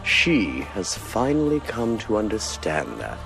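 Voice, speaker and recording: A menacing, silky video game sorcerer villain. The voice is deep and unhurried, swooping theatrically through mock courtesy and condescension. Music plays clearly behind it. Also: male voice, wildly swooping pitch, exaggerated voice. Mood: mocking, smug. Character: suave, menacing male villain, a scheming researcher-sorcerer. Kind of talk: dramatic video game acting.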